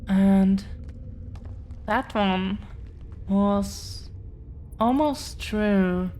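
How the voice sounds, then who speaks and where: A woman playing an affectionate, earnest girlfriend of dragon descent in an intimate roleplay audio, speaking gently with lingering pauses. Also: medium pitch, a little hiss or hum. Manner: gentle, hesitant, lingering pauses between phrases.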